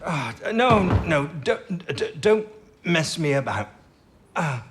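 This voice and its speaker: An actor with a British accent playing a stubborn, ailing wartime codebreaker in a radio drama, husky, terse and blunt. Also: male voice, a little high, sing-song, slow, a bit bright, heavily acted. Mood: pained, irritable.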